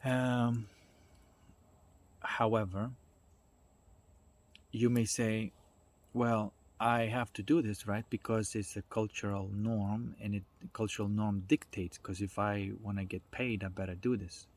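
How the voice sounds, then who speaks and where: A man giving an informal explanatory talk in a reflective, halting way, often checking in with small tag questions. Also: on the low side, fairly even intonation. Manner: reflective, halting delivery with checking tags like "right?" and "you know". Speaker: speaker giving a self-development talk.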